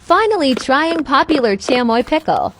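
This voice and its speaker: A woman's voice: high-pitched, very animated, moderate pace, a bit bright, a little hiss or hum. A woman giving short, simple commentary for ASMR videos as an unboxing and tasting presenter.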